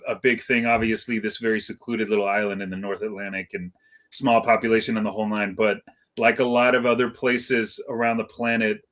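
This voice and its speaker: Middle-aged guy from iceland, fairly low voice, easygoing and humble, lots of um and uh. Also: pushed and bright. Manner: laid-back, modest, many fillers and restarts. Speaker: guest DJ. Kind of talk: casual interview chat.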